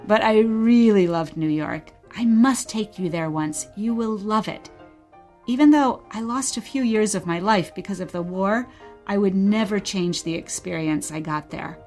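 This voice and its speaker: A woman's voice: medium pitch, a little slow, darkish tone, faint music behind, close to an everyday voice. A woman playing a wistful mother reminiscing about exile and telling her story simply in a radio play. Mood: fond, nostalgic. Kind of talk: short radio play dialogue, bedtime storytelling.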